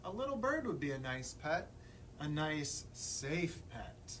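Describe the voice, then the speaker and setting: A man's voice: fairly high pitch, sing-song, slow, a bit bright. A man reading a children's picture book aloud as a librarian at story time, expressively, putting questions to young listeners. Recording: distant mic, room noise.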